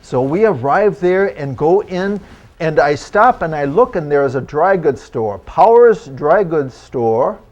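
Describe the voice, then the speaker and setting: A man's voice: a little high, animated, darkish tone, dull audio. Man playing a formal old-time country doctor. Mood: wry, storytelling. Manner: formal old-fashioned phrasing, deliberate, theatrical. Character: genial 19th-century German immigrant country doctor, travelling storyteller. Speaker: historical reenactor performing for an audience. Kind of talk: first-person living-history storytelling in character.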